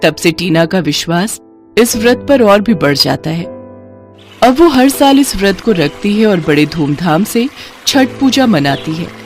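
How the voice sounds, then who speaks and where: A woman narrating a scripted family story in an expressive, steady way. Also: mid-range voice, a little slow, soft background music.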